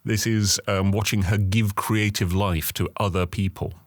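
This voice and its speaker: Male writer interviewee, low-pitched, dry sound, halting and thoughtful delivery. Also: fairly even intonation, no room sound. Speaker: writer, creator of the character. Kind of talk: reflective documentary interview.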